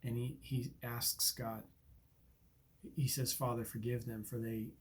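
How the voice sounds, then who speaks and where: A man's voice: medium pitch, little pitch movement, unhurried, breathy edge. A man hosting a daily Bible reading, earnest and reflective, sniffling from allergies. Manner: earnest, reflective, with many ums and uhs. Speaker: Bible reading podcast host. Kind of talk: devotional commentary and scripture reading.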